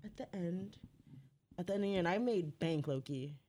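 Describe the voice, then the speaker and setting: Lowish young woman, slangy and laid-back. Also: a little fast, dark tone, a little hiss or hum. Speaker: podcast co-host. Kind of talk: casual chat.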